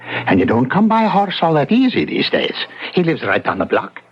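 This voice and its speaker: A man's voice: a little high, wildly swooping pitch, normal speed, breathy edge, full character voice. An actor voicing an officious, wheeling-dealing policeman in an old-time radio drama, mixing pompous legalese with folksy slang. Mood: sly, cajoling. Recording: dull old-radio sound.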